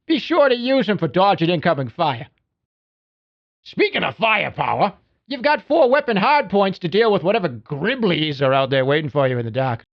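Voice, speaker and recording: A man's voice: high-pitched, very animated, normal speed, gravelly, highs cut off, exaggerated voice. A man playing a cocky, folksy video game mechanic who briefs a pilot with slangy wisecracks. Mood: upbeat, wisecracking. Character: cocky, folksy spaceship mechanic who babies his mech suit. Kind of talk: video game character voicelines.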